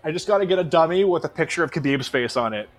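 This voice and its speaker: Fast, animated, sweary joking man. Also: on the high side. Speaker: podcast co-host. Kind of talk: casual banter.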